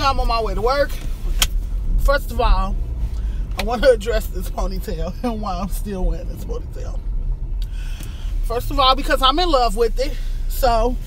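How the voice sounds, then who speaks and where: A slow-talking woman with a fairly high voice chats casually to her phone from the car on the way to work. She laughs a lot and sometimes drops into a deeper voice to joke. Traffic and engine noise run clearly behind her. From Chicago, United States. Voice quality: naturally deep-toned for a woman. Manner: chatty, laughs often, drops into a deep voice for fun. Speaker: fashion vlogger. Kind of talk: casual car vlog chat. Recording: noisy car interior. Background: car and street noise.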